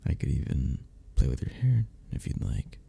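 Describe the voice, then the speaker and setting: A man playing a flirty, gentle cuddle club member in an intimate romantic ASMR roleplay, speaking softly with a breathy, gravelly voice that often drops toward a whisper. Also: extremely low pitch, big pitch swings, exaggerated voice. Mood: warm, reassuring. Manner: soft, slow, teasing, with frequent chuckles.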